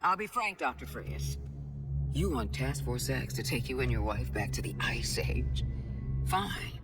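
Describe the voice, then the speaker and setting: A shrewd, commanding woman runs a government task force in a video game. Her authoritative delivery swings widely in pitch over loud music, bargaining hard and deliberately. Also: sing-song, heavily acted. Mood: cool, pressuring. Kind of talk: dramatic game cutscene acting.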